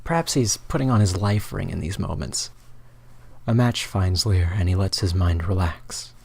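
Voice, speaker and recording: A man's voice: sits low, moderate pace, vocal fry, darkish tone, husky. A man reading his own fan fiction as a dramatic, mock-serious, theatrical narrator.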